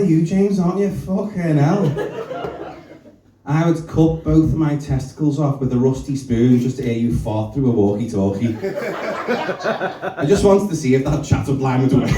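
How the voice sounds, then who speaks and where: Young man, brash and self-mocking stand-up, echoey club, mic at a distance, crowd laughing loud. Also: fairly high pitch. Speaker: stand-up comedian. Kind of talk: stand-up set with crowd work. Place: small comedy club bar.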